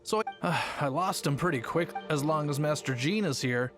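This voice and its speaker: A man's voice: fairly high pitch, moderate pace, close to an everyday voice. A man playing an easygoing, hesitant city gate guard in an anime video game, talking casually. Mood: sheepish. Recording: music playing behind.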